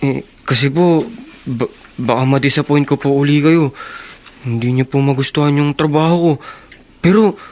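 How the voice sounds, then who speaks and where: A man's voice: medium pitch, moderate pace, long pauses, a little mellow, close to an everyday voice. Timid, deferential young gardener played by a man. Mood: flustered, nervous. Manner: nervous, stammering, deferential. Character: timid, eager-to-please young male gardener. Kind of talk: Tagalog radio drama acting.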